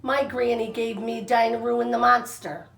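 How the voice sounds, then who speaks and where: A woman's voice: fairly high pitch, fairly even intonation, normal speed, gravelly, fairly bright tone, soft background music. Woman reading as an eager young turtle boy, echoey room. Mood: delighted. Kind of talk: children's storybook read-aloud.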